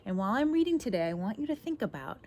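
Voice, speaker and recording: A woman reads a picture book aloud expressively, pausing to put guiding questions to young listeners. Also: medium pitch, sing-song, darkish tone. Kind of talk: expressive children's read-aloud with asides. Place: outdoors in a backyard garden. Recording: outdoor recording, faint noise, birdsong. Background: birdsong, faint outdoor noise.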